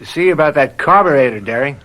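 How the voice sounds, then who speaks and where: A man playing a brisk, practical game warden in an old radio drama, speaking in a matter-of-fact, businesslike way. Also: a little high, expressive, sharp tone. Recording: faintly noisy old radio recording.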